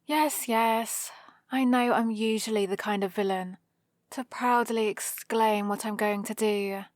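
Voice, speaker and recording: Woman doing a british villainess for asmr roleplay, kinda high voice, slow teasing delivery, secretly caring. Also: steady tone, no echo, studio quality, lightly acted. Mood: proud, evasive. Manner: teasing, sardonic, casually conversational. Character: proud scheming female supervillain, gruffly caring for a rescued hero. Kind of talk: ASMR roleplay, intimate character acting.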